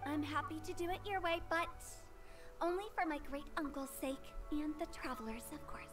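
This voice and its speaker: A woman's voice: sits high, normal speed, music in the background, lightly acted. A woman voicing a playful, cheeky young funeral director in a video game, with a teasing, theatrical delivery. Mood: grudging. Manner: teasing, theatrical, sing-song.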